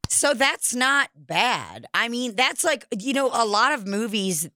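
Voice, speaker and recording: A woman's voice: a little high, sing-song, measured pace, sharp tone, dry recording, clean studio sound. A woman hosting a podcast in casual chat, rambling and jokey with lots of filler words. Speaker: podcast host.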